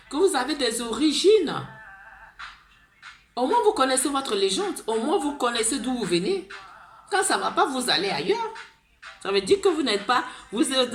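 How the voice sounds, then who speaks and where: A woman from Côte d'Ivoire with an Ivorian accent, speaking in an impassioned, pleading monologue to camera, rambling and tagging phrases with "là". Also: fairly high pitch. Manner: fervent and pleading, rambling with repeated "là" tags. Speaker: vlogger. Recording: roomy echo with music behind.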